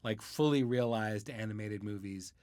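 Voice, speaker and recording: A man's voice: lowish voice, normal speed, a little mellow, no echo, studio quality. A man hosting a casual podcast interview, informal and joking in the way he talks.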